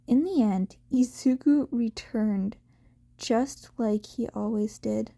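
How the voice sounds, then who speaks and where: A woman's voice: a little high, slow, warm tone. A woman reading fiction aloud as an amateur, in scripted story narration.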